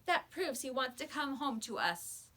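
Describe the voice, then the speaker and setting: A woman voicing an eager young boy in a storybook, excited and insistent. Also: sits high, measured pace, exaggerated voice. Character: eager young boy devoted to his dog.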